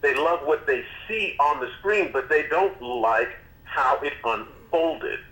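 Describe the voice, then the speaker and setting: Bright-voiced man in an echoey room. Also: fairly high pitch, slow pace. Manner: animated, stumbling restarts. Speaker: podcast co-host. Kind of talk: casual chat.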